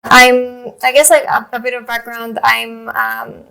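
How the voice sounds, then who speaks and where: A woman's voice: a little high, level tone, a little slow, gravelly, pushed and bright, low-quality recording. A woman in her thirties from Chile, a journalist guest telling her story in a rambling way, full of fillers and restarts. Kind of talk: casual interview talk.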